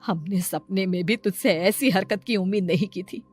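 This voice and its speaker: A woman's voice: mid-range voice, normal speed, husky, no echo, faint music behind, natural voice. Woman playing a stern, scolding grandmother. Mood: outraged. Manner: reproachful, scolding. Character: stern, indignant grandmother defending a child. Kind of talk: animated moral story dialogue.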